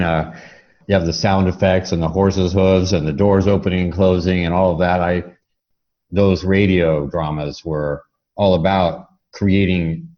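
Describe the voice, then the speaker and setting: A man with a deep voice hosts a reflective interview. He talks in a halting, thoughtful, affirming way, and the sound is dull and lo-fi. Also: a little mellow. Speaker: podcast host. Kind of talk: casual reflective interview talk. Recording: dull band-limited lo-fi call audio.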